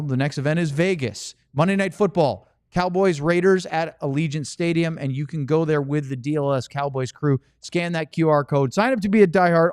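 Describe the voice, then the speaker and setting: A man's voice: fairly high pitch, a little mellow, smooth, no room sound. An American man hosting a casual sports podcast, talking and reading promos into a studio microphone. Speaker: podcast host. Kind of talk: casual sports talk and ad read. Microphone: close studio microphone.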